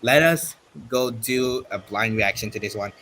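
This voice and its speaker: A man chatting casually as a livestreamer doing reactions, speaking haltingly and repeating himself. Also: medium pitch, a bit bright, slight background noise.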